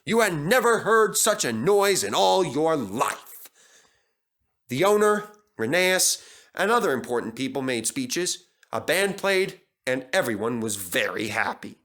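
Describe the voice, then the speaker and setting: Expressive male storybook narrator, animated pitch. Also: a little slow. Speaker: story reader.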